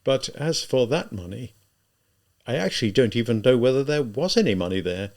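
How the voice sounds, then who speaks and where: A man voicing a tormented, spiteful young murderer in an audiobook, halting and emphatic as he reproaches himself. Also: mid-range voice, expressive, a little mellow, natural voice. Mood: quiet, brooding.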